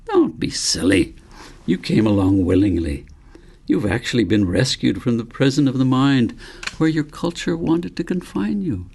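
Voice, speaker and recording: A man reading the part of a wise old grandfather in a storybook, calm and patient, explaining things in a measured, philosophical way. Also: medium pitch, creaky, breathy edge, heavily acted. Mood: calm, instructive.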